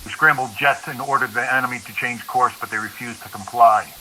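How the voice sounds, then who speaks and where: General on radio: urgent, formal, clipped, piercingly forceful, light hiss. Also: male voice, mid-range voice, steady tone, a little fast, rough recording. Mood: grave, urgent. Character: grave, urgent American military general reporting. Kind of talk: audio drama acting. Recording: hissy radio transmission, faint noise.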